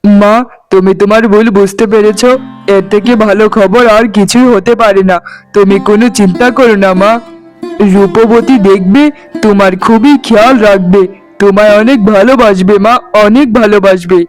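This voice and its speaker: A man acts out a young village husband in a cartoon drama. He sounds high for a man, earnest and coaxing, a devoted family type, with soft string music in the background. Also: steady tone, normal speed, close to an everyday voice. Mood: comforting. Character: doting young village husband and reassuring, dutiful son. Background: plucked-string music.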